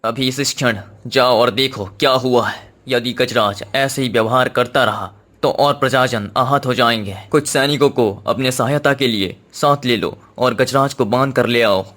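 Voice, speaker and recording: A man's voice: medium pitch, normal speed, few pauses, a bit bright, close to an everyday voice. A man playing a commanding Indian king with a formal, authoritative manner in a children's cartoon story. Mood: alarmed, commanding. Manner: formal, authoritative, giving orders. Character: commanding Indian king, fond of his elephant.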